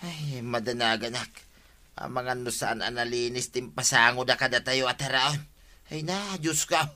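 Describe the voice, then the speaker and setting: A man's voice: medium pitch, normal speed, pushed and bright. A man playing a suffering village husband with an urgent bellyache in an Ilocano radio comedy drama, groaning and urgent, with an Ilocano accent. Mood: fretful.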